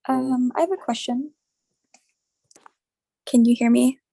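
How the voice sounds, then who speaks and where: A woman's voice: high voice, slow pace, vocal fry, dark tone, no echo. A woman in the audience asking a tentative question, often saying "like".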